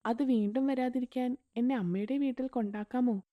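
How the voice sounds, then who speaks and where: A woman voicing a gentle, caring mother in an animated story, speaking softly. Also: a little high, brisk, no echo, phone-quality sound, close to an everyday voice. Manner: soft, pleading, gentle.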